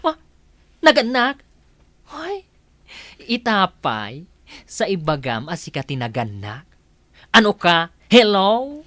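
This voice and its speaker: A woman's voice: mid-range voice, huge pitch swings, slow pace, long pauses, fairly bright tone, dry recording, full character voice. A woman playing an indignant, blunt foster mother in a radio drama, scolding in an emphatic, confrontational way. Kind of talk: Ilocano radio drama acting.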